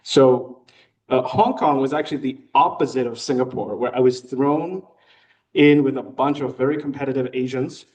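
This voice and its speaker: A young American man giving a casual personal talk with slides to a club meeting, relaxed and chatty with frequent fillers. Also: mid-range voice, normal speed, far from the mic, low-quality recording. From United States. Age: young adult. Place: meeting room.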